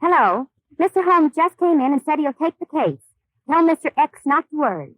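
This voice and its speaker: A woman's voice: high-pitched, very animated, moderate pace, dry recording, low-quality recording. A woman playing a cheeky housemaid in a 1930s radio comedy, delivering wisecracks matter-of-factly.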